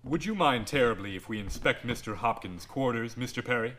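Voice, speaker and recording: An actor in a radio drama playing a courteous police inspector who speaks in a formal, measured way. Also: male voice, medium pitch, lively intonation, a bit bright, slight background noise, natural voice. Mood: polite probing.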